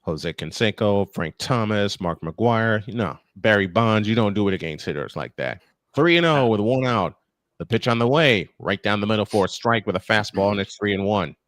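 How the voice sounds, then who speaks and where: An older man doing live baseball play-by-play with folksy, playful banter between pitches. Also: expressive, normal speed, fairly bright tone, dry recording.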